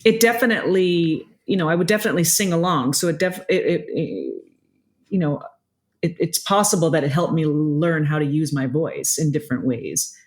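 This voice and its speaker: A middle-aged woman from Quebec with a low voice, chatting casually as a musician guest, often stopping and restarting. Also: lively intonation, moderate pace. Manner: hesitant, many restarts and fillers. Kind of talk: casual interview chat.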